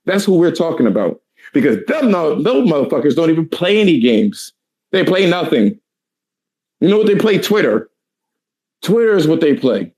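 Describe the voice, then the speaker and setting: A man in his thirties talks in a heated, profane rant, his voice raspy and worn from lack of sleep. He pitches a bit higher than most men and keeps hammering his points with emphatic repetition. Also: sing-song, moderate pace, a little mellow. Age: 30s. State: tired, hoarse voice. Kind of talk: heated casual chat.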